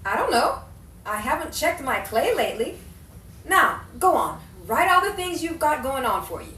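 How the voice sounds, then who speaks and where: A woman acting a bossy, quick-witted older friend in a 1950s Hollywood-style audio drama, brisk and insistent as she encourages. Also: high voice, very animated, sharp tone, heavily acted. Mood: bossy, teasing. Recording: roomy, distant mic, faint background noise.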